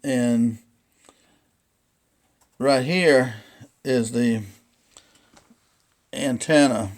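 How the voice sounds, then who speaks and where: A man's voice: medium pitch, darkish tone. Man giving a rambling, folksy demonstration. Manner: rambling, folksy, halting explanation. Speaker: vintage radio collector presenting a radio. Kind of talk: casual unscripted demonstration.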